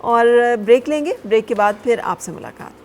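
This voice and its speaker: A woman hosting a studio morning show, asking questions and answering her guest with steady "hmm"s. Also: fairly high pitch, moderate pace, a little hiss or hum.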